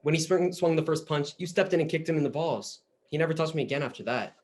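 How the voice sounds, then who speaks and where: A man's voice: on the high side, fast talker, a little mellow, close to an everyday voice. A man playing Samuel, a shy, sincere and slightly goofy young man trying to win over a girl, speaking over a video call. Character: Samuel, awkward earnest young man with a crush. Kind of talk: stage reading of a comic play.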